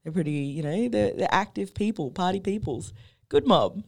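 A woman's voice: fairly low pitch, lively intonation, moderate pace, vocal fry. A woman with an Australian accent, chatting casually on a podcast in a laid-back, rambling way. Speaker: podcast guest. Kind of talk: casual chat.

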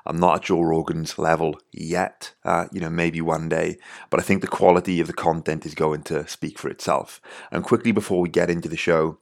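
A man's voice: sits low, level tone, normal speed, vocal fry. A man with a Welsh accent hosting a podcast, talking casually with lots of "you know" and restarts. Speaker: podcast host. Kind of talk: casual podcast intro monologue.

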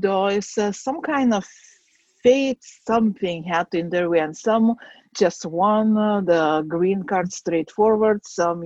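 A woman with a Lithuanian accent, a guest designer on a podcast, speaking hesitantly with many pauses and fillers. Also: mid-range voice, darkish tone, dry recording, highs cut off. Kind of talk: podcast interview.

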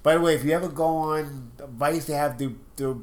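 A man's voice: on the high side, steady tone. A man with a stuffed-up nose, co-hosting a casual wrestling podcast and arguing his opinions at length. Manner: opinionated, talks at length in quick bursts. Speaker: podcast co-host.

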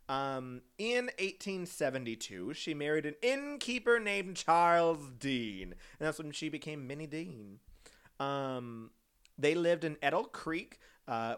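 A man's voice: on the high side, fairly bright tone, smooth. A man hosting a podcast, casually telling a true-crime story, giggly and rambling with frequent self-corrections.

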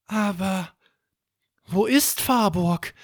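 A man's voice: high voice, very slow, no room sound, studio quality, voice pushed up, full character voice. A man voicing a small, curious, anxious boy who asks wide-eyed questions in a children's story. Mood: puzzled, anxious.

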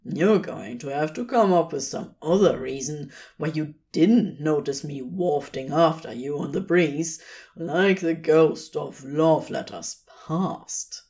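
A woman voicing a teasing, gruffly affectionate male demon with a sardonic, firm drawl. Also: low-pitched, slow, mellow, voice pushed down. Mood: mock defensive.

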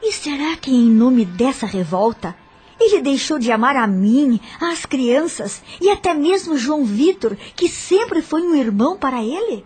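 A woman's voice: high voice, sing-song, measured pace, a little mellow, slightly rough, heavily acted. An actress playing a troubled widow in a radio soap, speaking earnestly and respectfully as she seeks understanding. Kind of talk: radio soap opera acting. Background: music.

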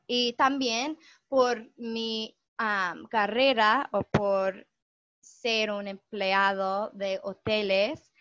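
A young woman whose first language is English, talking slowly and thoughtfully in learned Spanish as a podcast guest, with many "am" fillers and restarts. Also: medium pitch, a bit bright, no echo. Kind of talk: casual conversation in learned Spanish. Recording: dull, dry lo-fi recording.

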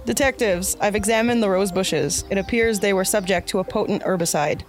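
A woman's voice: mid-range voice, brisk, music in the background, close to an everyday voice. A woman playing a composed, serious botanist who reports formally in a radio mystery. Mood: grave, clinical. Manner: formal, matter-of-fact.

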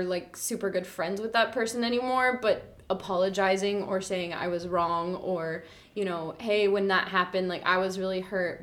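A woman's voice: mid-range voice, fairly bright tone. A young adult woman speaks in an earnest, thoughtful way as a teacher guest on a school podcast. Her voice sits in an ordinary middle register, and she sometimes drops into quoted inner thoughts. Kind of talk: reflective casual chat.

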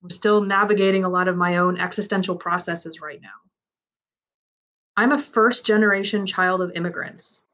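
A woman narrating a man's first-person story aloud, in a measured, reflective and earnest way. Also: mid-range voice, fairly even intonation, a bit bright.

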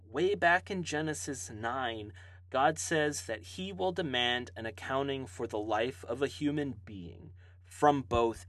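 A man's voice: mid-range voice, a bit bright, no echo. A man from Hawaii hosting a podcast, answering listener Bible questions in an earnest, explaining way with some fillers.

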